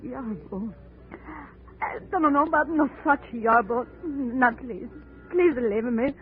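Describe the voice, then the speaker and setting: A woman's voice: fairly high pitch, animated, slow pace. An actress playing a grieving, anxious mother in plaintive 1940s radio style. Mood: mournful, pleading. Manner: plaintive, mournful. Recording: muffled, noisy old radio recording.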